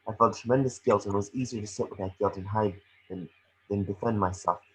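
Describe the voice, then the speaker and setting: Man playing gentle remorseful witch mentor, slightly husky. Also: fairly low pitch, fairly even intonation, fast talker, poor audio. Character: gentle, remorseful adult witch ghost woman, motherly mentor. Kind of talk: amateur script table read.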